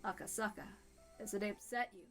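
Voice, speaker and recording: A woman voicing a steady, determined male police detective in a story reading, speaking gravely and resolutely. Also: medium pitch, brisk, soft background music, pitched below the performer's own voice, close to an everyday voice. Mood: quiet concern. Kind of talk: dramatic reading of a visual novel.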